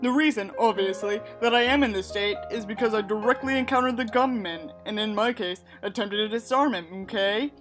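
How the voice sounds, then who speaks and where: A woman's voice: medium pitch, a little fast, hardly any pauses, soft background music. A woman reading a book aloud and adding sarcastic, exasperated, emphatic asides of her own. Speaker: audiobook reader and commentator.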